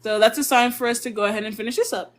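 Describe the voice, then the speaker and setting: Young woman on a live stream chatting through korean grammar, sounds out phrases slow, kinda high voice. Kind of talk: casual live-stream explanation.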